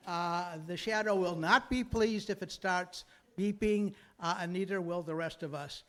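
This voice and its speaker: High-pitched man hosting a show. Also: a little slow, a bit bright. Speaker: host introducing a radio play. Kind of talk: informal welcome speech. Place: live before an audience.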